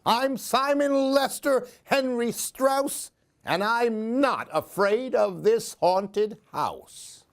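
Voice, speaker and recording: Slow, high-pitched, animated male storyteller. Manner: theatrical, singsong rhyming delivery. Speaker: storyteller reading aloud. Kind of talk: expressive children's story reading.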